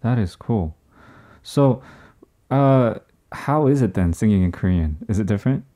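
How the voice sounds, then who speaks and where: A dark-toned, mellow host leads a relaxed music interview. The host is chatty and playful and trips over words and restarts often. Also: mid-range voice, unhurried. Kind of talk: casual interview chat.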